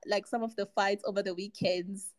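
A woman's voice: mid-range voice, steady tone, normal speed, a crowd in the background. Chatty woman podcaster from Tanzania. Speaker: podcast co-host. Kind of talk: casual podcast chat.

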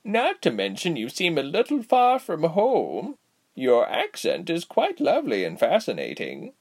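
A man voicing a cheerful, giggly elderly village healer woman in a storybook, with a slow, kindly teasing delivery. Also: a little high, huge pitch swings, vocal fry, breathy edge, voice pushed up, exaggerated voice. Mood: curious, teasing. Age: older. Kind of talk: storybook character voice.

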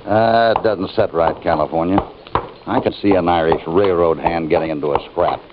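A male actor playing a steady, shrewd cowboy hero with an American accent, firm and dry, in an old radio western. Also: deep voice, normal speed, natural voice. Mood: thoughtful. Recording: muffled, hissy old radio recording.

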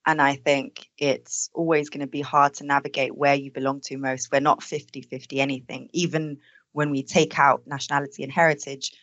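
A woman born in Denmark with East African heritage speaks on a podcast panel. Her voice sits very low, and she talks in a reflective, candid way. The recording is dry. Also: flat delivery, fairly quick, fairly bright tone, no echo, dull audio. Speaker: panel guest, consultant. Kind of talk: conversational podcast discussion.

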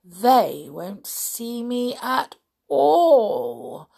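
A woman's voice: on the high side, very animated, vocal fry, dark tone, slightly rough, pitched above the performer's own voice, heavily acted. A woman voicing a greedy, boastful enormous male crocodile, drawing out her words with relish. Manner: gloating, drawn-out words. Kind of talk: storybook read-aloud.

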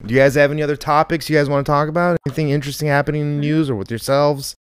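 A man's voice: fairly high pitch, fairly even intonation, racing, clean tone, no room sound, studio quality. A man hosting a comedy podcast, chatting casually and joking.

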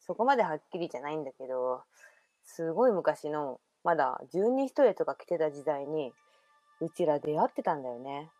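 Woman acting a low-voiced girl revealing a past life, confiding tone, dry close sound. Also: sing-song, moderate pace, mellow, dry recording, soft background music. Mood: mysterious. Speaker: radio drama performer. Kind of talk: amateur radio drama acting.

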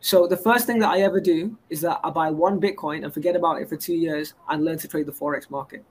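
Young guy bragging about his ventures, rambly, kinda high voice, light background noise. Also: quick pace, poor audio. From England. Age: 20s. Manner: boastful and rambling, stacks up credentials. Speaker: guest entrepreneur. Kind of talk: casual interview talk.

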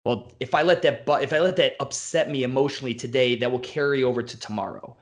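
Blunt, profane gym owner. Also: male voice, mid-range voice. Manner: blunt, profane, fast-flowing rants. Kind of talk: casual podcast conversation.

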